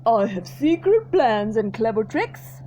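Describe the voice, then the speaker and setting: A woman voicing a big, boastful, greedy male crocodile who speaks slowly and gloatingly. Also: fairly high pitch, sing-song, warm tone, slight background noise, voice pushed down, rough recording. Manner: slow, gloating, drawn-out delivery.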